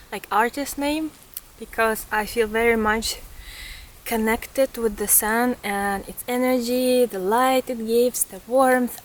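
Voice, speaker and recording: A woman in her thirties with a Ukrainian accent, talking in an earnest, reflective way to camera as a vlogger. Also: on the high side. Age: 30s. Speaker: vlogger and artist. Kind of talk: casual reflective vlog talk to camera. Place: outdoors in a forest.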